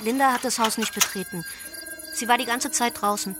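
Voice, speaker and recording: An actress plays a young female accomplice in a radio play. Her voice is on the high side, and she gives her account plainly and factually. Faint music sits behind her. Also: brisk, lightly acted. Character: young female cult accomplice recounting a killing. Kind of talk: radio play monologue in testimony form.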